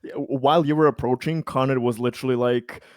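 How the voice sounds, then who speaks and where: A man playing a tabletop roleplaying game, chatting and narrating casually in a halting, stammering way. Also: mid-range voice, fairly even intonation, dry recording, studio quality. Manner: halting, stammering with repeated words.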